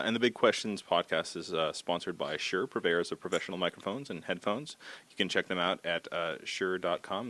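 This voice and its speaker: A man's voice: low-pitched, fairly bright tone. A man hosting a conversational podcast interview, speaking informally with hesitant phrasing.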